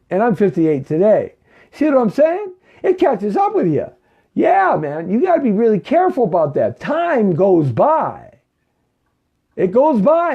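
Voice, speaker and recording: Preachy fifties man, lively voice. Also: high-pitched, dull audio. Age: 50s. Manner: preachy, repeats himself, keeps asking "okay?" and "right?". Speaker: talk show host. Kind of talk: live money talk show.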